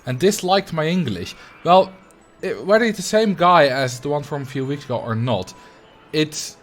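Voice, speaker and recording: A man with a Dutch accent, a native Dutch speaker, casually chatting with his livestream viewers and answering chat in a relaxed, rambling way with frequent ums and light laughs. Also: a little high. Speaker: streamer.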